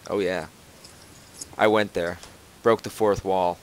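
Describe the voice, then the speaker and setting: A man's voice: low-pitched, steady tone, takes it slowly, slight background noise. A man hosting a casual podcast from home over a voice call, rambling with lots of fillers and restarts. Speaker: podcast host.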